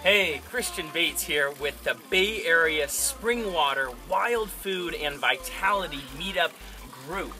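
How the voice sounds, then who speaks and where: A man's voice: a little high, slow, bright, forceful tone. A young man cheerfully promoting his meetup group in a casual vlog, filmed outdoors by a spring on a phone held at arm's length. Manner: upbeat and enthusiastic. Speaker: meetup organizer. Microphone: phone camera at arm's length. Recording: background music under voice.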